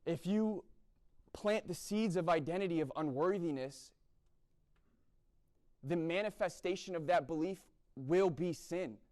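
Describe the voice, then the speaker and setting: Blunt young man preaching, fairly high voice. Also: unhurried, pauses often, darkish tone. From East Coast of the US. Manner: blunt, repeats "right?" often. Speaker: speaker at a university chapel event. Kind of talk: sermon-style talk.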